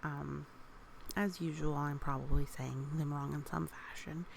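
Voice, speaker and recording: Slow, creaky-voiced woman reading to children. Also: low voice, a little hiss or hum. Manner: gentle, expressive, exclaims and asks questions. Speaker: storyteller reading a picture book aloud. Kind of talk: read-aloud with chatty asides to young children.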